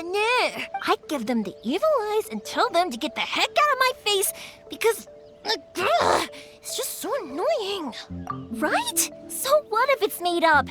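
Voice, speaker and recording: An actress voicing a cheerful, spirited young woman who makes fireworks, talking in a lively, chatty, big-sisterly way. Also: very high-pitched, exaggerated voice. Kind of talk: game voice acting. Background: game music.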